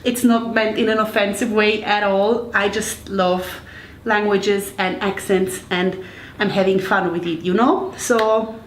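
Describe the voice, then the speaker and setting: A woman's voice: mid-range voice, steady tone, a little slow. A woman from Switzerland with a Swiss accent, chatting casually and playfully as the host of a home baking vlog. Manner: playful, informal, self-deprecating. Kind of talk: casual chat to camera. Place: indoors, home kitchen. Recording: roomy echo, distant mic, faint noise.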